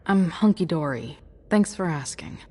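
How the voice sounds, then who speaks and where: A woman's voice: sits low, animated, normal speed, warm tone, slightly rough. A woman playing a tough, jaded, sardonic young streetwalker with a wry manner in a video game drama.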